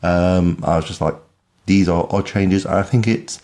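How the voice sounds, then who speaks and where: A man's voice: low voice, fairly even intonation, measured pace, a little mellow. A British man co-hosting a podcast, chatting casually with a droll, slightly mock-formal turn of phrase. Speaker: podcast host. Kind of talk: casual conversational chat.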